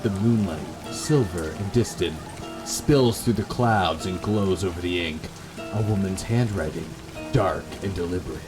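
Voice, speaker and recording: Guy doing a game playthrough, low voice, takes his time, music playing behind him. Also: unhurried, poor audio. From Canada. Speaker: gaming video host. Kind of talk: casual chat and dramatic read-aloud of game text. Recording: background music under the voice.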